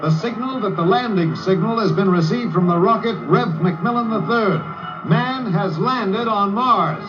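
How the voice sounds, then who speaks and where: An actor playing an earnest, excitable radio news reporter in a 1950s radio drama. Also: male voice, sits high, measured pace, music in the background. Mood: excited. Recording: dull, noisy 1950s radio recording.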